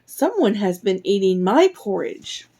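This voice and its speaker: A woman plays a medium-sized mother bear in a storybook. She exclaims indignantly at a slow, deliberate pace. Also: mid-range voice, big pitch swings, mellow, breathy edge, full character voice.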